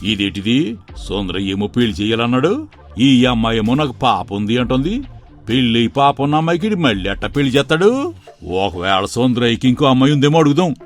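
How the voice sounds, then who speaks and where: A man's voice: medium pitch, very animated, brisk, soft background music, natural voice. A man playing a scheming middle-aged village uncle in a dramatised moral story, sly and falsely affectionate, with folksy rustic speech. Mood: puzzled.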